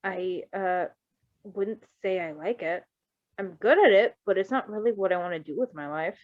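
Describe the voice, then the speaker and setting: A woman's voice: medium pitch, normal speed, narrow, tinny audio, close to an everyday voice. A woman voicing a wary, sardonic teenage boy thief with a hesitant, slangy, offhand way of talking in a story reading. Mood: offhand, guarded.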